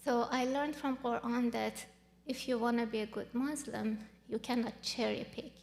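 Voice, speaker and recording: A woman in her thirties from Iran, Farsi her first language, sharing her story earnestly with frequent pauses and fillers. Her somewhat high voice has a gravelly edge, and it rings with a long hall reverb. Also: steady tone, darkish tone. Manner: earnest, halting with many fillers. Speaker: guest. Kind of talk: personal testimony interview.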